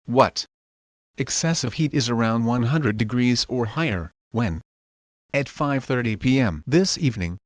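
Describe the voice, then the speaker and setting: A man's voice: mid-range voice, dry recording. A man reading a scripted weather warning as a radio announcer.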